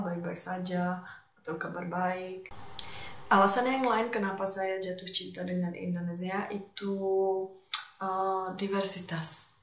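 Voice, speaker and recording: Echoey Czech-accented woman vlogger. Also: mid-range voice. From Czech Republic. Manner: hesitant, searching for words. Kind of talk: casual personal talk to viewers. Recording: echoey, roomy sound.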